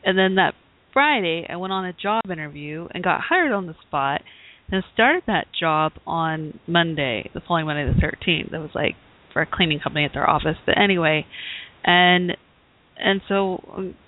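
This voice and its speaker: A woman who hosts a talk show, hoarse from bronchitis, giving a rambling, casual update with many fillers and restarts. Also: lowish voice, expressive, normal speed. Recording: tinny phone-like audio.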